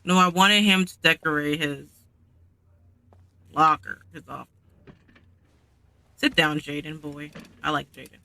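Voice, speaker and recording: A man's voice: fairly high pitch, steady tone, unhurried, vocal fry, long pauses, bright, forceful tone, no room sound. A man giving casual gameplay commentary, rambling and thinking aloud as he plays.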